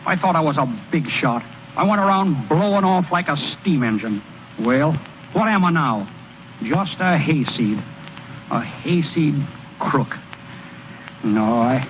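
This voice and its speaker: Casting: guileless American hayseed pitcher, high and very animated, halting folksy talk, vintage radio play. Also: male voice, phone-quality sound. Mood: dejected, tender. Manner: folksy, ungrammatical, hesitant stammering speech. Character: naive, boastful-turned-dejected hayseed male baseball pitcher. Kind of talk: old-time American radio drama acting. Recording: thin, noisy vintage radio broadcast recording.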